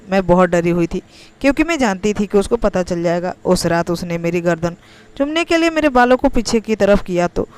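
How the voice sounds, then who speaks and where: Clear, smooth-voiced woman narrating a story. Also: mid-range voice, darkish tone. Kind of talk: scripted first-person narration of an emotional Hindi story.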